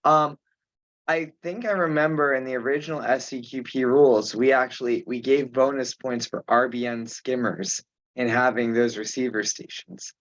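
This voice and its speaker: A man chairing an informal online meeting, speaking haltingly with pauses mid-sentence. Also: medium pitch, fairly even intonation, fairly bright tone, no echo. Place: remote teleconference. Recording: dull, muffled conference call audio.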